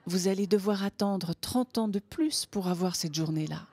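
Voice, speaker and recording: A woman giving a live interpretation of a talk, phrase by phrase, in a steady, plain delivery that sometimes turns to a soft whisper. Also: medium pitch, moderate pace, husky, dry recording, a faint crowd.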